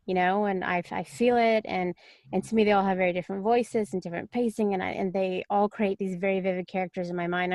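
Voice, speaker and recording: A woman's voice: mid-range voice, dry recording, clean studio sound. A woman talking casually and chattily as an audiobook narrator on a panel.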